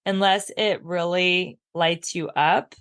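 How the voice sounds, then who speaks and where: A woman's voice: on the low side, steady tone, slow pace, fairly bright tone. A middle-aged woman giving advice in a casual interview, talking emphatically with lots of "like", her voice froggy from just waking up. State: husky morning voice. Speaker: podcast guest, abundance mentor.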